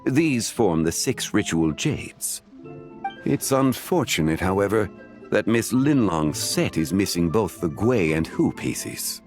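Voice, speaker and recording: An erudite game gentleman, composed and courteous. His lowish voice moves expressively through formal, measured phrases, with soft music underneath. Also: very animated, exaggerated voice. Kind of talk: English video game voice acting.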